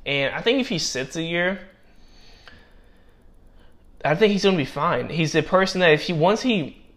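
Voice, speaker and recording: A man's voice: fairly high pitch, animated, normal speed, a bit bright. A young man giving casual spoken football draft commentary, with many fillers and restarts and a voice that is giving out. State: losing his voice. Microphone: personal microphone.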